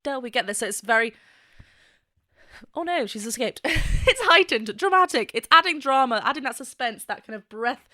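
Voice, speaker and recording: Female vocal coach, bright and enthusiastic, higher voice, dry close recording. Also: expressive, normal speed, dry recording. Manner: enthusiastic, laughs often, sings snippets to demonstrate. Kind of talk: reaction and analysis.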